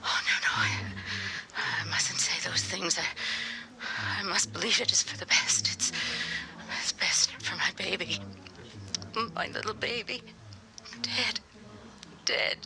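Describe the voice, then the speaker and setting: A woman's voice: on the low side, big pitch swings, slow, long pauses, pushed and bright, in a whisper, full character voice, low-quality recording. A woman playing a grief-stricken old mother in radio horror drama, lamenting in a broken, anguished way.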